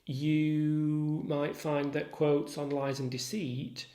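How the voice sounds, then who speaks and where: A man lecturing as a literature tutor, measured, articulate and instructive in his delivery. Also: a little high, little pitch movement, mellow. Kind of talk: literature lecture with recited quotations. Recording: roomy echo.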